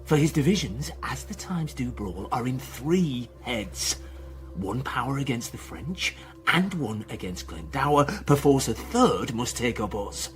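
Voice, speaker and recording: An actor playing a confident, bold rebel lord in a radio Shakespeare play, emphatic and declarative. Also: male voice, mid-range voice, big pitch swings, measured pace, low-quality recording. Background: music.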